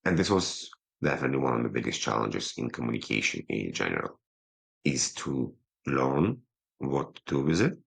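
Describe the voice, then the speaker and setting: A man with a low voice and a non-native English accent, talking as a podcast guest over a video call, quick but pausing to slow himself down. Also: big pitch swings, vocal fry, breathy edge. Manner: quick talker who slows himself down, pausing instead of fillers. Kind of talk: casual interview talk.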